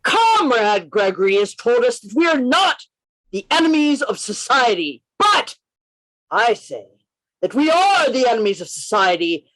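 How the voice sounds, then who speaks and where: Woman playing a bold, animated gentleman detective, dry sound. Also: fairly high pitch, no echo, rough recording. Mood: defiant oratory. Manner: declamatory, emphatic, deliberate phrasing. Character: bold, defiant male undercover detective posing as anarchist. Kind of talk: amateur radio play reading. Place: indoors, on a video call. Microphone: video call.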